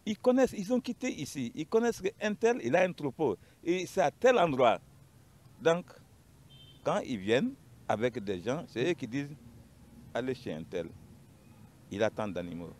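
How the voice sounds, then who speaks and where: A man's voice: medium pitch, very animated, normal speed, long pauses, darkish tone. A man with a Malian accent giving an unscripted account as a panel guest, emphatic and repeating his phrases.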